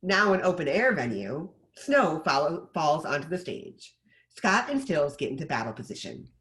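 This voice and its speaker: A man's voice: fairly high pitch, sing-song, normal speed, a bit bright. A man reading stage directions as scripted narration for a table read.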